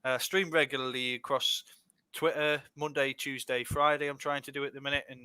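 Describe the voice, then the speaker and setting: A man's voice: medium pitch, flat delivery, fairly quick, pushed and bright, smooth, dry recording. A young Scottish man hosting a casual streaming podcast, reading out viewer comments and chatting with a laugh in his voice. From Scotland. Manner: chatty, laughs easily, restarts a lot. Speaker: podcast host.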